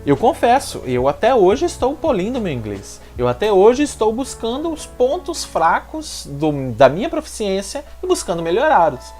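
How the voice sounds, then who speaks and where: A man from Minas Gerais with a Mineiro accent, speaking straight to the viewer as he gives energetic advice in a vlog. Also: high voice, moderate pace, hardly any pauses, soft background music. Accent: Mineiro Brazilian Portuguese. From Minas Gerais, Brazil. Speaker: YouTuber giving language-learning tips. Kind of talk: direct-to-camera advice vlog.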